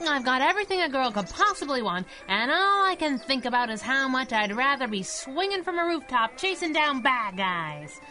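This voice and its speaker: A wry young heroine of a superhero radio serial. Her high voice swings expressively through its range. She banters restlessly, with music playing behind her. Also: very animated, normal speed, few pauses, pushed and bright, full character voice, poor audio. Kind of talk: 1930s-style radio drama banter.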